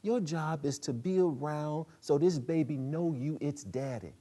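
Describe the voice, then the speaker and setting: Man reading as a pleading, high-pitched weary woman. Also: unhurried, dark tone. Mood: earnest pleading. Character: pleading, earnest 35-year-old woman, weary of wanting things. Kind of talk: dramatic play reading.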